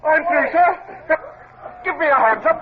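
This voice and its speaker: A man's voice: very high voice, animated, moderate pace, crowd noise. An actor playing a plucky young midshipman in a vintage radio drama, breathless and deferential to his officer. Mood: excited, anxious. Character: eager, plucky young midshipman, a boy at sea. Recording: muffled, noisy old radio recording.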